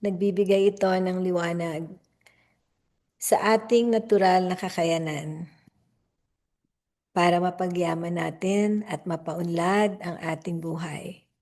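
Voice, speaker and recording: A hoarse woman with a Filipino accent, a reverend giving a slow, deliberate sermon that moves between English and Tagalog. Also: fairly low pitch, steady tone, a little mellow. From Philippines. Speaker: reverend and spiritual leader.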